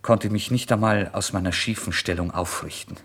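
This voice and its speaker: A man's voice: lowish voice, steady tone, moderate pace, breathy edge. An actor playing a starving, proud and agitated down-and-out man in a dramatic literary radio monologue. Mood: hushed, exhausted brooding. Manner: dramatic, swinging from hushed brooding to indignant outbursts.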